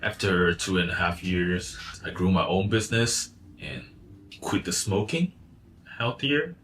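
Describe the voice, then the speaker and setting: A man's voice: deep voice, measured pace, slight background noise. A man in his thirties who grew up in Taiwan, talking casually and reflectively about his life as a freelancer, with fillers and restarts. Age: 30s. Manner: relaxed, rambling, with fillers and restarts. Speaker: vlogger, freelance designer and coach.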